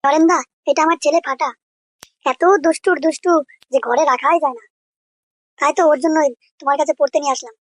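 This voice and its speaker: Fed-up cartoon dad, voiced by a man, harsh and sarcastic, pitched up, very fast. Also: steady tone, no echo. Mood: exasperated. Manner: scolding, sarcastic, crude. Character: exasperated, harsh father shamed by his naughty son. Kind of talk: comedy cartoon voice acting.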